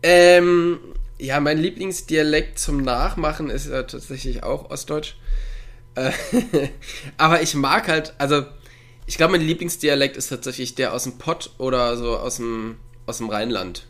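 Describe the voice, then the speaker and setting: A man co-hosting a relaxed conversation from home over a remote call. He rambles through his thoughts with plenty of fillers. He chuckles often as he talks. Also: mid-range voice, a little slow. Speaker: podcast co-host. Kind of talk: casual chat. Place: at home, with a dog nearby.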